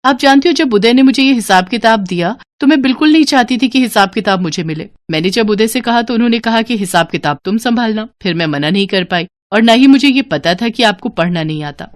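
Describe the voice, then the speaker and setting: A woman's voice: fairly high pitch, expressive, fairly quick, hardly any pauses, natural voice. A woman voicing a kind, dutiful young daughter-in-law in a story, polite and solicitous. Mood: reassuring.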